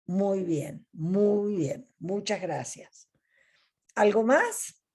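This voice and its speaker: Slow, mellow Argentine woman teaching. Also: mid-range voice, lively intonation, breathy edge. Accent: Argentine Spanish. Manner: slow, deliberate teaching with pauses. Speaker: coaching ethics facilitator. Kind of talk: online ethics class.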